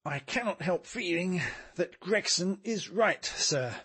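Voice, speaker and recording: Polite, pleading police detective, played by a man, high-pitched and husky, for a storybook mystery. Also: expressive, slow, fairly bright tone, full character voice. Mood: earnest, pleading. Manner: polite, earnest complaint. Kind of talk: dramatic audiobook character reading.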